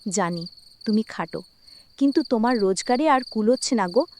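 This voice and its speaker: Woman acting poor village wife, high voice, very expressive, scolding and pleading, cartoon drama, faint noise. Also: normal speed, close to an everyday voice. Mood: anxious pleading. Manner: pleading, complaining.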